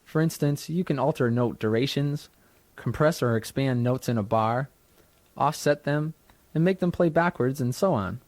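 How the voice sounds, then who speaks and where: A man's voice: mid-range voice, normal speed, darkish tone, no room sound. Dry-sounding man narrating a tutorial. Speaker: software tutorial instructor. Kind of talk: scripted instructional narration. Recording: dry studio narration from a 1990s tutorial cassette.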